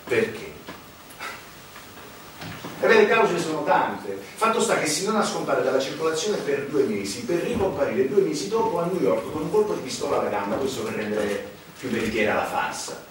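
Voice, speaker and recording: An actor playing a sardonic insider who narrates on stage in an emphatic, ironic, rhetorical way. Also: male voice, on the high side, big pitch swings, normal speed, slight background noise. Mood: ironic, insinuating. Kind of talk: theatrical narration. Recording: echoey stage, distant mic, music behind.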